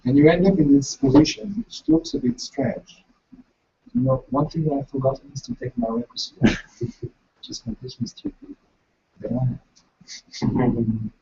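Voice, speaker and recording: A man with a big voice, teaching a ritual step by step in a lively way and switching between French and English as he explains. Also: on the high side, a little slow, gravelly, lo-fi sound. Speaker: meditation teacher.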